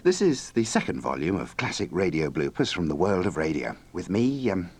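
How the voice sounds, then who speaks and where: A male host with a lowish voice delivers dry, sardonic links. He moves his pitch around freely. A faint hiss sits under the recording. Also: very animated, normal speed. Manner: wry, sardonic. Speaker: compilation host. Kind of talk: scripted dry link narration.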